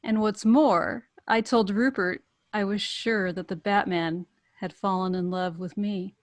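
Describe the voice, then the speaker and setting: A woman's voice: mid-range voice, fairly even intonation, a little slow, lightly acted. A woman reading the part of a bold, determined, flirtatious woman in love at a script table read. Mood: smitten. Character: bold, determined woman romancing a wealthy bachelor.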